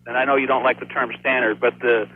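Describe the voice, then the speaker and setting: A man calling a radio show as a real estate agent, chatting casually and explaining things in an informal, halting, folksy way. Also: medium pitch, flat delivery. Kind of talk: casual phone call-in chat. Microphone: telephone. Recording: thin phone line, faint noise.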